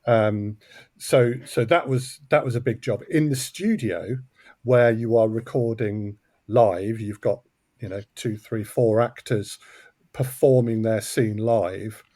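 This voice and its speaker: A man's voice: fairly low pitch, takes it slowly, warm tone, no room sound. Man in close, dry conversation. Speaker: audio drama co-creator and producer, interview guest. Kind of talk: conversational interview explanation.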